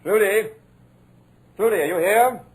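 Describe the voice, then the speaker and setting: A man playing a controlling, sinister drama producer with brisk theatrical manner, in 1940s radio acting style. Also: high voice, slightly rough. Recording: old radio drama recording.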